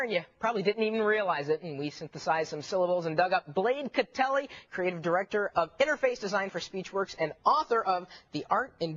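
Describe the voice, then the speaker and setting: A man's voice: sits high, fairly bright tone. A man hosting a talk show in a casual, chatty interview style with stammering restarts. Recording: dull, muffled recording.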